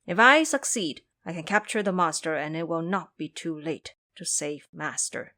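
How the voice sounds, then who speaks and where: A woman's voice: low voice, normal speed, bright, forceful tone, pitched below the performer's own voice. A woman voicing a cunning young male dragon, a sly trickster in an audio drama. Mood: scheming.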